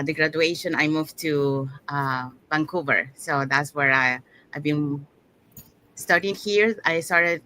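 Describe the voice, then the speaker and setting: Bright-voiced woman, lower pitch. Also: a little hiss or hum, poor audio. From Panama. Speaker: guest, digital business owner. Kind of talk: casual personal storytelling.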